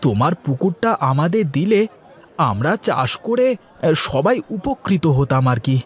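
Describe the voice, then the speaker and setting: An eager-to-please young village man in a cartoon , played by a man. He sounds respectful and a little hesitant as he pleads. The voice is slightly rough and rises and falls a lot. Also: on the high side, very animated, measured pace, exaggerated voice. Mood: earnest pleading. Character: earnest, deferential young jobless village man seeking help. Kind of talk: Bengali moral-story cartoon dialogue.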